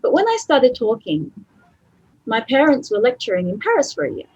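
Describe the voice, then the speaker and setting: Middle-aged woman, conference talk, chatty, animated intonation, breathy voice. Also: a little high, normal speed, highs cut off. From Australia. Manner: lively and chatty, laughs easily. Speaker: conference speaker. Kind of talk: presentation to colleagues.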